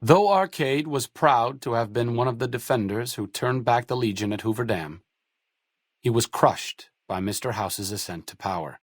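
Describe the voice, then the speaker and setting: A man narrating video game epilogues in a measured, solemn storytelling manner. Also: mid-range voice, expressive, no room sound, studio quality, close to an everyday voice. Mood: somber, measured. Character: somber male narrator telling a companion's fate.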